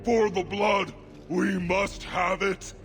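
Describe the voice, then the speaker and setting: Game villain: fanatical dwarf cultist, fervent and ranting, gravelly, raised pitch, reverberant space. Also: male voice, level tone, slow, a bit bright, faint music behind, full character voice. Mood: menacing zeal. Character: crazed fanatical dwarf crime-cartel cultist. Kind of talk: dramatic video game acting.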